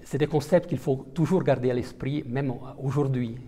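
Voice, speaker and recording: Hesitant diplomat, mellow voice. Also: male voice, medium pitch, moderate pace. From Italian-speaking Switzerland. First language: Italian. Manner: hesitant, many fillers and restarts. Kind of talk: interview answers.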